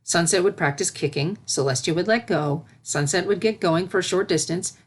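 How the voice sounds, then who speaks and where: Woman reading a story out loud, kinda low voice, narrator style. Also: moderate pace.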